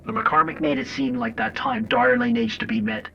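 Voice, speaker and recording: A man's voice: very high voice, a little fast, creaky, recorded from a distance, full character voice. A man playing a wry, foul-mouthed intelligence agent, telling his story in a sardonic, conversational way in an audio drama. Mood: frank, familiar. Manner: sardonic, conversational, addresses the listener directly. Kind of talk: first-person audio drama narration and dialogue. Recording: dull lo-fi old-radio sound under music.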